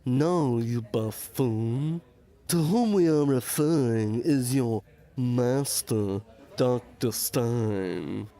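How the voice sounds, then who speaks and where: An actor playing a smug, sneering medical student, haughty and mannered, in a Gothic audio drama. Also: male voice, medium pitch, extremely slow, mellow. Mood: smug mockery.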